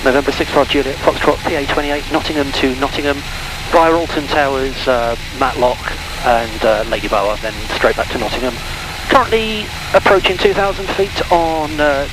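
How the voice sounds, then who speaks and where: A man speaking as a private pilot on a cockpit headset, making radio calls and chatting casually. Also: fairly high pitch. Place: inside a small aircraft cockpit. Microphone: intercom headset. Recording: loud light-aircraft engine noise.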